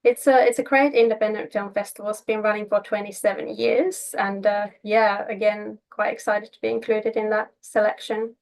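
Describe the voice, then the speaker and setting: A woman's voice: mid-range voice, steady tone, normal speed, hardly any pauses, darkish tone. A woman from Finland, a native Finnish speaker, talking casually as an interview guest. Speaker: interview guest, filmmaker and actor.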